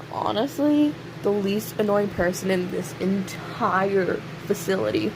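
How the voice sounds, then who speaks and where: A woman playing a snarky, cocky imprisoned serial killer in an audio roleplay, sarcastic and teasing, with pointed pauses. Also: mid-range voice. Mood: teasing, coy. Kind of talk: audio roleplay acting, addressing an unheard listener. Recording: steady clear background hiss or hum.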